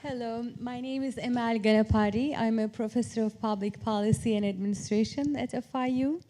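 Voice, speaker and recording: A woman's voice: a little high, steady tone, fairly quick, warm tone, slight background noise. A woman from Turkey speaking as an academic on a panel, explaining her approach in an informal, structured way. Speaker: academic panelist, professor of public policy.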